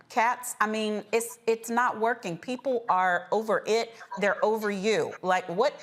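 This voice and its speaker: A woman with an American accent moderating a live news panel in a conversational, playful way. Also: mid-range voice. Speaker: stand-in host of a news panel show.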